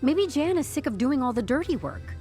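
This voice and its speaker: An actress playing a composed, stubborn HR newcomer in an audio drama, speaking with deadpan corporate politeness and wry candour. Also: female voice, sits high, brisk, faint music behind. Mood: insistent. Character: composed, stubborn young HR newcomer turning interrogation into interview.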